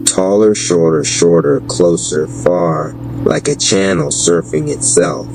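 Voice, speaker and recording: A man with a deep voice narrates dark fantasy at a very slow, deliberate pace. His delivery is gravelly and solemn, in florid storytelling prose, with noticeable noise in the recording. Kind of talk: scripted dark fantasy audio drama narration. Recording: clearly noisy background.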